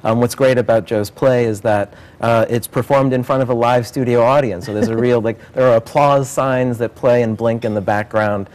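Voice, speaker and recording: A man's voice: mid-range voice, steady tone, normal speed, hardly any pauses, darkish tone. A man speaking as a theater director in an interview, earnest and enthusiastic about his work. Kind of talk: promotional interview about a stage production.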